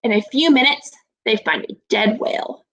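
A woman in her twenties, a teacher giving a read-aloud lesson to young children in a deliberate, instructive way. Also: a little high, animated, a bit bright, rough recording. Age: 20s. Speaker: elementary school teacher. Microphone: laptop webcam at arm's length.

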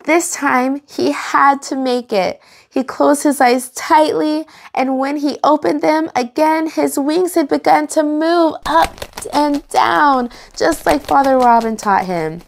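Young female storytime host, expressive and engaging, reading picture books aloud for kids. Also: high-pitched, takes it slowly, gravelly. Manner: expressive, engaging, enunciated for children. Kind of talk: animated read-aloud for children.

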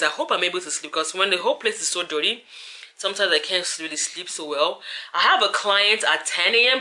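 A woman's voice: on the low side, sharp tone. A tired young woman talking to her camera from her couch late at night, chatty and rambling as she wraps up her vlog. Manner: chatty, rambling, lots of "like" and "you feel me". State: tired, voice deeper than usual. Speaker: vlogger. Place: living room couch at night. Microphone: camera held at arm's length.

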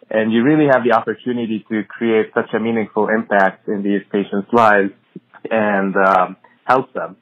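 A man in his thirties from Syria, a physician answering interview questions in a measured, thoughtful way with many pauses and ums. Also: lowish voice, dry recording. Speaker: hematology-oncology fellow, podcast guest. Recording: tinny phone-like audio.

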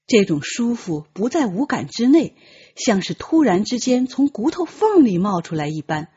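Woman audiobook narrator, very breathy husky voice, lively swooping intonation, dramatic storytelling, slightly muffled. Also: medium pitch, moderate pace.

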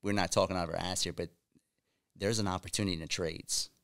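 A man's voice: low voice, fairly even intonation, quick pace, a bit bright, clear, resonant tone. A man, hosting a casual studio podcast conversation, emphatic and prone to repeating himself. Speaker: podcast host. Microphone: boom mic on stand.

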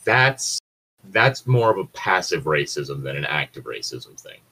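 A man's voice: extremely low pitch, sing-song, measured pace, pushed and bright, slightly rough, rough recording. A man chatting casually and bluntly, swearing freely and arguing his points. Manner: profane, argumentative. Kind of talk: casual opinionated chat.

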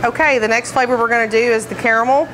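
A woman's voice: a little high, steady tone, quick pace, music in the background, rough recording. A woman bakery trainer explaining decorating steps in plain, practical terms, with frequent fillers. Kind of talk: instructional demonstration. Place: commercial kitchen, indoors.

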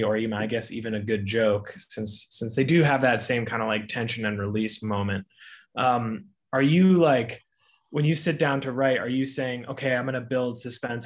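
A man in his thirties casually asking interview questions on a video call, tentative and searching for his words. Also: mid-range voice. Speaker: graduate student panelist. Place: bright room at home, on a video call.

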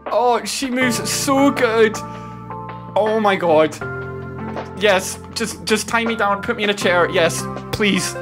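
A man's voice: high voice, takes it slowly. A man in his thirties reacting casually to a game trailer, flabbergasted and repeating his exclamations, on a close streaming mic. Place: small home streaming room. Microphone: close desk streaming microphone with headset. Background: game trailer music.

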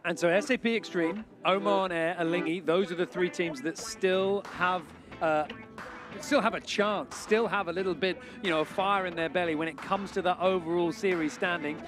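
A male host calls live sailing coverage from the shore with a fairly high voice. A clear music bed plays under his talk. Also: fairly even intonation, normal speed. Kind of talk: live sports commentary. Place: on the shore at a hot beach venue.

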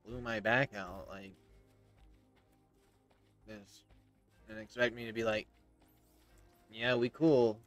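A man's voice: fairly low pitch, fairly even intonation, slow pace, long pauses. A man in his thirties giving casual, opinionated, emphatic commentary as he plays a video game. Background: faint game music.

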